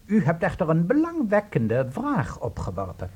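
Pompous professor, very sing-song, breathy, higher male pitch, pedantic, formal, radio play. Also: huge pitch swings, normal speed, darkish tone, full character voice. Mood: amused, pedantic. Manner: pompous, stilted formal diction.

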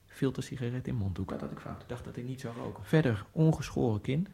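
A nervous, ironic immigrant guy, gravelly warm voice, quiet radio monologue. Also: medium pitch, animated, quick pace. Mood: brooding. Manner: hushed, brooding inner monologue. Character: Egyptian immigrant man, nervous and wryly ironic. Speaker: narrator. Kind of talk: first-person radio drama monologue.

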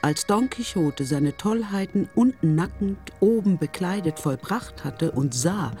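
A man's voice: high voice, lively intonation, a little slow, dark tone. Male storyteller narrating over music. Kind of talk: scripted literary radio narration.

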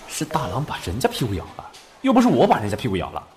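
A man's voice: mid-range voice, wildly swooping pitch, fast, noisy background. Meek, flustered man, wildly swinging intonation. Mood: indignant. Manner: hesitant, stammering, apologetic. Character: meek, flustered man placating his stern boyfriend. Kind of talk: Chinese audio drama acting.

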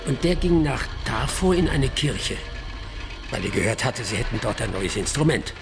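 A man playing a wily, unhurried village storyteller in a radio drama, speaking in a deliberate, teasing way. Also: mid-range voice, sing-song, vocal fry, over music. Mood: absorbed storytelling. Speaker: actor in a radio play.

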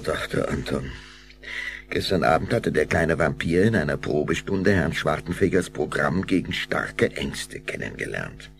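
A male narrator reads a scripted children's audio drama. His voice is very deep and gravelly, with richly varied intonation. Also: a little hiss or hum.

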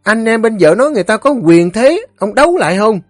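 A man's voice: fairly high pitch, big pitch swings, fast, slightly rough, no echo, studio quality, faint music behind, pitched above the performer's own voice. A man voicing a fearless, scolding village wife in an audio story, with a Southern Vietnamese accent. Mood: exasperated, scolding.

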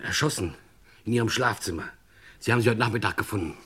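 Lowish-voiced sardonic sidekick. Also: male voice, quick pace, a bit bright, slightly rough. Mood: grave, worried. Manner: wry, ironic, theatrical. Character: sardonic sidekick, frank and mock-respectful toward his boss. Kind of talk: old-style German crime radio play acting. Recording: music behind the voice.

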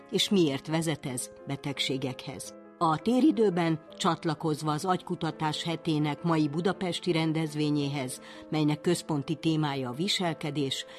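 A woman's voice: low voice, darkish tone. A woman, presenting a radio programme with a scripted introduction.

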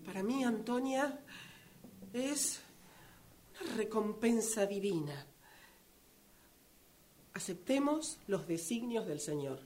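A woman playing an eager, greedy, pious woman in a radio play, theatrical, stammering with excitement. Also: mid-range voice, slow pace, pauses often, a little hiss or hum, pitched below the performer's own voice. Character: eager, greedy, pious woman exulting over found money.

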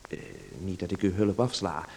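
An actor in a radio play voicing a timid young artist who hesitates, stammers and apologizes. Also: male voice, sits low, moderate pace, a little mellow, slight background noise, lightly acted. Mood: nervous.

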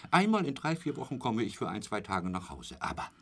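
An actor in a German radio drama playing a garrulous, boastful travelling salesman who rambles chummily and corrects himself, sometimes dropping to a breathy murmur. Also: lowish voice, very animated, brisk. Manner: chatty, rambling storyteller with a pet polite phrase, correcting himself.

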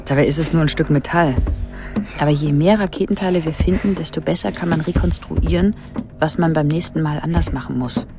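An actress playing an observant, quietly uneasy young woman, speaking in a hushed, reflective inner monologue for a radio play. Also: sits low, a little fast, music in the background. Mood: wry, reflective. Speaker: protagonist and inner narrator. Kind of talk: intimate radio drama monologue.

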